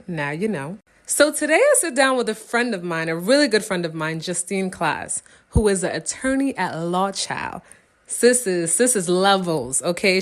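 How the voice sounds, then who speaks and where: A woman hosting a podcast, talking in a bubbly, slangy, excitable way as she chats casually with a guest. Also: mid-range voice. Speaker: podcast host. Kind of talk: casual interview chat.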